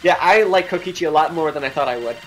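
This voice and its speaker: A man's voice: fairly high pitch, rapid-fire, slight background noise. A young man chatting casually and frankly as co-host of a show about a game's story. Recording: music behind the voice. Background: video game music.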